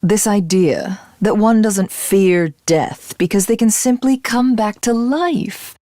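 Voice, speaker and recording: A woman voicing a proud, cold warrior commander in a video game, speaking in a formal, commanding and measured way. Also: mid-range voice, dark tone, no echo, studio quality, lightly acted. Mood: calm, philosophical. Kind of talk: video game character voice acting.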